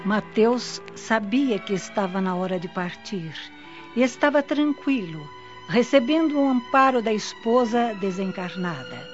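A woman narrating a scripted radio drama in a measured storytelling manner. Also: medium pitch, animated, over music. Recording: dull lo-fi audio.

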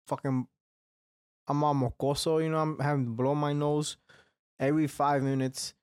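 A man's voice: on the high side, little pitch movement, moderate pace, a little mellow, clean tone, dry recording, studio quality. A young man hosting a solo podcast, rambling and swearing a lot, with a slightly congested, phlegmy throat. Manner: rambling, heavy swearing, frequent restarts. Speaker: podcast host. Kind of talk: casual solo podcast monologue.

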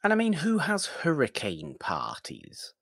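A man's voice: a little high, huge pitch swings, measured pace, creaky, fairly bright tone, no echo, studio quality. A man co-hosting a podcast, casually discussing film in an emphatic way, repeating phrases and throwing out rhetorical questions. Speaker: podcast co-host.

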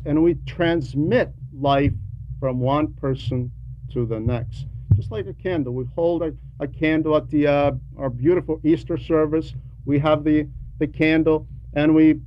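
A man with a slightly high voice preaches an Orthodox homily at a slow pace. He explains deliberately and leans on repetition for emphasis. There is faint noise behind him. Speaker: preacher reading a translated homily. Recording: dull lo-fi sound with background noise.

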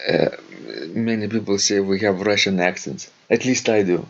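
Man, Lithuanian accent, podcast co-host, hesitant, very deep voice, muffled lo-fi sound. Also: fairly even intonation, normal speed. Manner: hesitant, many fillers. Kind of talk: casual chat, reading a listener letter.

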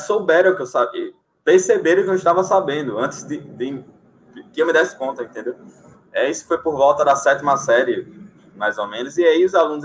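A young man from Alagoas, Brazil, a sign language interpreter, chatting casually in an interview with frequent fillers and easy laughter. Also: mid-range voice. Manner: relaxed, many fillers, laughs easily.

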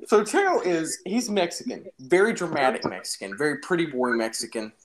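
A man's voice: fairly high pitch, big pitch swings, normal speed, crowd noise, poor audio. A young man casually telling an anecdote in an informal, jokey, exaggerating way. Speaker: storyteller in a podcast-style phone chat.

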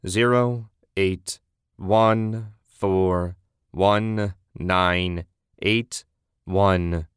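A man voicing a chilling radio voice that drones out numbers in a slow, drawling monotone. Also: low-pitched, clean tone, no room sound, clean studio sound. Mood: cold, drawling. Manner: droning, drawling monotone, digit by digit.

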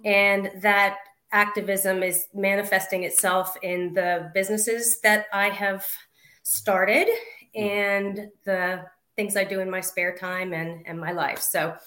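A woman's voice: mid-range voice, level tone, slow, pushed and bright, echoey room. A woman in her fifties speaking as a panel guest, earnest and reflective as she talks about her work and her values. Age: 50s. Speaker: guest, activist. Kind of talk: panel discussion.

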